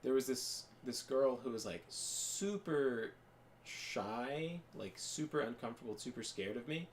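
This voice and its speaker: A man's voice: medium pitch, expressive, measured pace, a little hiss or hum. A young man chatting casually as a podcast co-host, rambling with lots of fillers and restarts. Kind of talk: casual chat while gaming.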